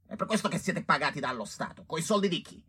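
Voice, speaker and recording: A man's voice: fairly high pitch, expressive, quick pace, pushed and bright, breathy edge, full character voice. An actor playing a frantic, foul-mouthed, authoritarian male director of a health institute in an Italian radio drama. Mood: bossy, impatient. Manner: agitated, muttering repetition, then imperious scolding.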